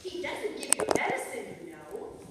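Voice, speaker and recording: A woman's voice: sits high, wildly swooping pitch, moderate pace. A woman playing a scatterbrained, naive wife who muddles her words in a staged old-time radio comedy. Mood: stubborn. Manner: naive, earnest, spells words out letter by letter. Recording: echoey hall from far off, noisy.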